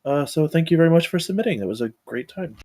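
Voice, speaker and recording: A man's voice: a little high, expressive, quick pace, mellow. A man giving casual, hesitant spoken feedback on a script, thinking aloud.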